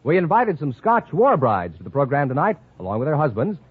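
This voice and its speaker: A man's voice: a little high, huge pitch swings, fairly quick, slightly rough, dry recording. A man announcing contestants in an old-fashioned radio show style. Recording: thin phone-like old radio broadcast.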